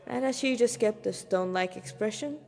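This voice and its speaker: Woman reading her fanfic out loud, highish voice, big echoey reverb. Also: a little fast, creaky, faint music behind. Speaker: narrator reading own fan fiction. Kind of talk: narrated story reading. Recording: reverberant hall-like room sound.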